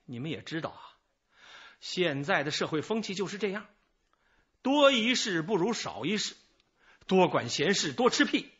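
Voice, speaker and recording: High, garrulous, evasive informant. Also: male voice, very animated, normal speed, long pauses, fairly bright tone, slightly rough, lo-fi sound, exaggerated voice. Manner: chatty, rambling, sighing, hedging. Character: garrulous, evasive male small-time informant, self-excusing. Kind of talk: radio drama dialogue.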